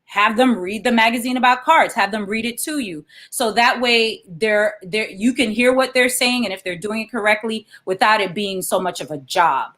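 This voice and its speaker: A woman's voice: mid-range voice, normal speed, hardly any pauses, fairly bright tone. A woman, a children's author, reading aloud and explaining things as an interview guest.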